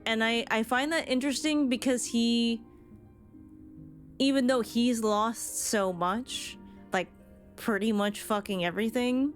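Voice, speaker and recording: A woman streamer talks casually through a game in a fairly high voice. She is reflective and analytical, with the odd swear word. Music plays behind her. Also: measured pace. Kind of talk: casual game reaction commentary.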